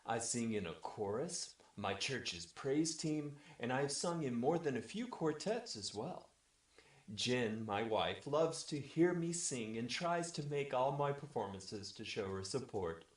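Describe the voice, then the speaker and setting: A man reads a scripted comic tale in the first person, addressing his audience like a bedtime storyteller. His voice sits at an average pitch and has a mock-formal manner. The recording is roomy and echoey. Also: expressive, measured pace. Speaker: storyteller, webcast regular.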